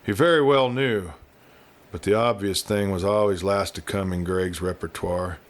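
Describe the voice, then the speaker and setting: A man reading a western story aloud as scripted narration, with a dramatic, expressive delivery. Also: sits low, normal speed. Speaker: audiobook reader and show host.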